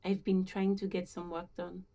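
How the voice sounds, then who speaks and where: A woman's voice: on the low side, monotone, moderate pace, close to an everyday voice. An actress voicing a reserved, politely hesitant gardener woman in a video game, speaking in an understated way. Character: reserved working-class female gardener, uneasy, politely hesitant. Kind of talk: video game dialogue acting.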